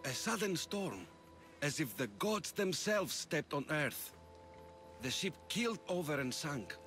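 A man playing a weary older fisherman who turned devout, in a halting, dramatic video game performance. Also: a little high, very animated, fairly bright tone, faint music behind. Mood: awed. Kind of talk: video game cutscene acting.

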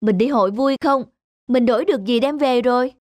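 A woman voicing a sweet, adoring young rabbit wife in an animated fairy tale, gushing and affectionate. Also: on the high side, brisk, close to an everyday voice. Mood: delighted. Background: music.

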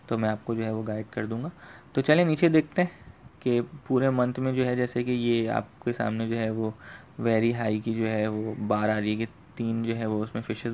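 A man's voice: on the low side, a little mellow, slight background noise. A man giving an informal screen tutorial, explaining step by step with repeated filler phrases. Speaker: fishing tutorial host.

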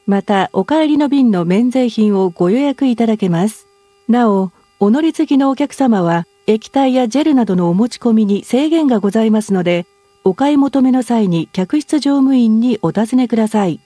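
A woman's voice: a little high, lively intonation, very slow, warm tone, dry recording. A woman as a cabin announcer, reading a formal scripted announcement for airline passengers.

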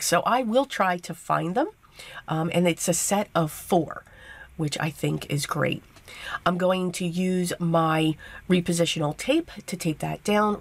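Woman, low-pitched and hoarse, chatty craft-tutorial voice-over, fillers and asides. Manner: chatty step-by-step explaining with fillers. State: losing her voice, hoarse. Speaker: card-making host.

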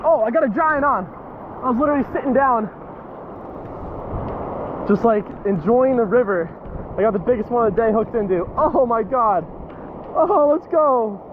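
A young man in his twenties vlogging while fishing in a river by a waterfall, excitable and whooping when fish bite, recorded on a handheld action camera. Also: extremely high pitch, narrow, tinny audio. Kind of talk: excited outdoor vlog. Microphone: action camera held at arm's length. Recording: loud rushing water noise. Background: rushing river water.

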